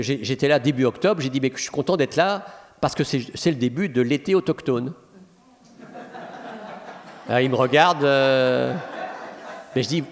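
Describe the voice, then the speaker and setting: A Belgian man with a Belgian French accent, a humorist telling relaxed anecdotes to an audience, talking in a chatty way with many restarts. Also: mid-range voice. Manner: chatty, frequent restarts and "voilà". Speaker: guest speaker, humorist. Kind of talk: relaxed anecdotal talk answering questions. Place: lecture hall with audience. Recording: long hall reverb.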